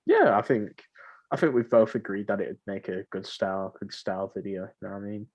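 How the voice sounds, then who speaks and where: A man's voice: lowish voice, fairly quick, a little mellow. A young man chatting casually as a podcast co-host, rambling and often repeating his phrases. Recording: dull lo-fi voice mic.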